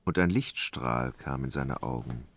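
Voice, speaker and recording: A man narrating a literary radio drama from a script in a measured, dramatic storytelling manner. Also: very deep voice, fairly bright tone, a little hiss or hum.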